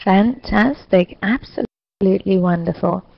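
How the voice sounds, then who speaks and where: A woman facilitating an online English lesson, speaking slowly and clearly to encourage her students. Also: medium pitch, dry recording, muffled recording. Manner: slow, clear, encouraging teacher talk. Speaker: English teacher hosting an online class.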